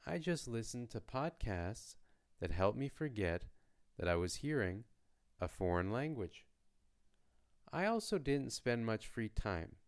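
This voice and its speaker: A man from Canada hosting a beginner language podcast, speaking in a slow, lightly joking teaching voice. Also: lowish voice. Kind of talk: slow, clear lesson narration for learners.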